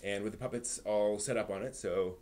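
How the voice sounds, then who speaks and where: A man's voice: lowish voice, fairly even intonation, a little fast, darkish tone. A man hosting a live stream in a conversational way, moving between news rundown and casual asides to his viewers.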